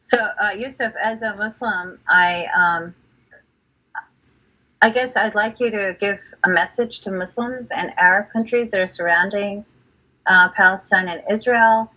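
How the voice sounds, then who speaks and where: A woman's voice: mid-range voice, a little slow, bright, forceful tone, low-quality recording. A woman professor from Pakistan asking a question, tentative and searching for words. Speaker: professor, event moderator.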